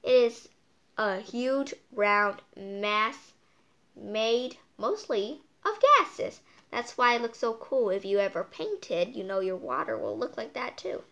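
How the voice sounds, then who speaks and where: A woman hosting a read-aloud storytime, reading a picture book in a halting way with playful, chatty asides. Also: fairly high pitch, fairly bright tone. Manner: halting reading, stumbling over words; playful, exclaiming.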